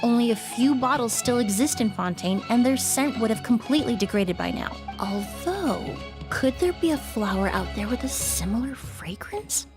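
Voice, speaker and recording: A calm, knowledgeable young perfumer with a slightly high voice and lively intonation. She reasons things through in a precise, polite way, and game music is clearly heard behind her. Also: normal speed, few pauses.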